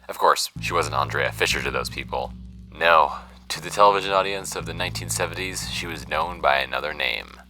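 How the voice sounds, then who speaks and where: A sardonic young American podcaster alone with his dusty files. His voice is very low and bright, and he talks in a self-deprecating, conversational way over a music bed, as in a fiction podcast. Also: full character voice, rough recording. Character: wry young man podcasting old case files alone. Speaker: podcast host character. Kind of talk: audio fiction podcast monologue.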